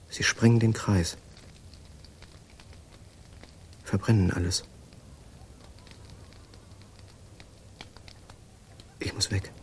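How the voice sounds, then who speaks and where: A male actor playing a grave storyteller haunted by fear and madness in an audio drama, with a slightly husky voice. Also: fairly low pitch, darkish tone, a little hiss or hum, natural voice. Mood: feverish dread.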